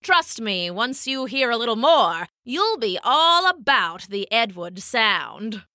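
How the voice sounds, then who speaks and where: A woman's voice: fairly high pitch, sing-song, takes it slowly, pushed and bright, dry recording, studio quality, full character voice. A woman voicing a boastful male grasshopper who sings and brags in rhymes, smug and taunting.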